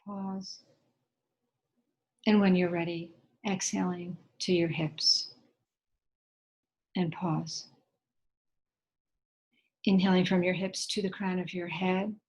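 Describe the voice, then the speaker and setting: A woman leading a guided meditation in a gentle, unhurried way, leaving long pauses between short instructions. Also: on the low side, little pitch movement, rough recording.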